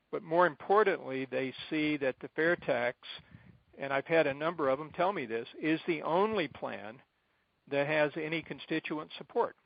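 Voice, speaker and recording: A middle-aged American man briefing supporters informally on a conference call, speaking in deliberate, halting phrases with emphatic repetition. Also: on the high side, creaky, dry recording. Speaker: advocacy group president addressing supporters. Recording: dull, band-limited conference call line.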